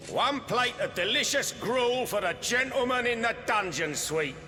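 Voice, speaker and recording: A man's voice: extremely high pitch, animated, a little slow, bright, forceful tone, pitched above the performer's own voice, full character voice. A man putting on a sarcastic, mock-polite servant voice in a video game cutscene. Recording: game cutscene with reverb and music.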